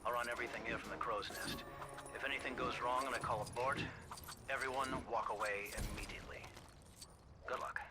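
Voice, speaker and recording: A man playing a composed, middle-aged spy team leader giving measured, businesslike mission briefings in a video game. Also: mid-range voice, steady tone, close to an everyday voice. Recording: loud music behind the voice. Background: loud music.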